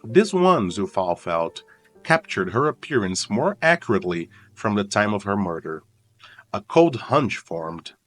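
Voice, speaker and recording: A man reading scripted true crime documentary narration in a solemn, suspenseful manner with deliberate dramatic pauses. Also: lowish voice, big pitch swings, fairly bright tone. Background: background music.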